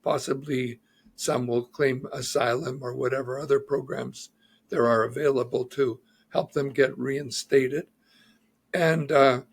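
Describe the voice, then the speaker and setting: A man's voice: medium pitch, vocal fry, breathy edge. A man who is an immigration lawyer, methodically explaining legal news in an interview and citing figures and reports.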